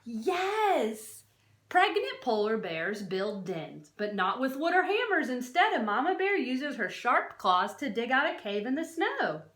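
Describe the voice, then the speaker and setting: Woman, high-pitched voice, very lively intonation, teacherly children's read-aloud, expressive emphasis on key words. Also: unhurried. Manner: expressive, questioning, stresses and draws out key words. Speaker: teacher reading a non-fiction book aloud to children. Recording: roomy, echoey sound.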